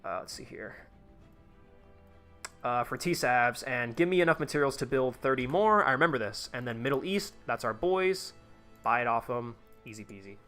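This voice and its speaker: A man's voice: medium pitch, expressive, normal speed, sharp tone, soft background music. A man live-streaming a tycoon game, chatting casually with his viewers in a rambling, profane way while he plays. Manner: rambling, profane, talks to chat while playing.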